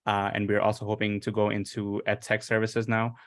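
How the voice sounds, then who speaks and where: Low, flat-voiced young man from India. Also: brisk, no echo, studio quality. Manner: steady, earnest, with frequent uh fillers. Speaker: panelist, business owner. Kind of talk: panel introduction.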